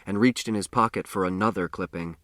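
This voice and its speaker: A man's voice: low voice, fairly quick, a bit bright, dry recording, clean studio sound. A man narrating a first-person story as a scripted audiobook.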